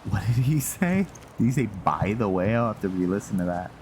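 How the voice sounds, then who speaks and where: Low-voiced man rambling over gameplay. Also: lively intonation, darkish tone. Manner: rambling, halting, thinking aloud. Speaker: gameplay video host and role-player. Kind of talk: casual gameplay commentary. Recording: clear background noise, game ambience. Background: noise and video game ambience.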